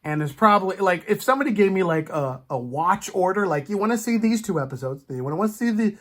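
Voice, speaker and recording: A man hosting a casual, animated chat about film and TV, acting out imagined reactions as he goes. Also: sits high, fast. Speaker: podcast host.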